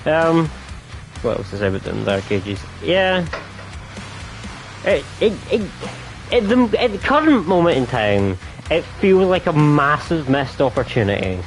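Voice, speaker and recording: A man's voice: fairly high pitch, very animated, a little slow, faint music behind, low-quality recording. A man giving a casual, rambling review of a game with friends, laughing often and restarting his sentences.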